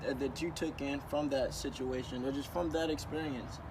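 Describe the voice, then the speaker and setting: A man's voice: mid-range voice, fairly even intonation, normal speed. Slangy male interviewer by a road. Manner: friendly, slangy, keeps saying "that's dope" and "bro". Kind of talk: casual interview. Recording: outdoor traffic noise.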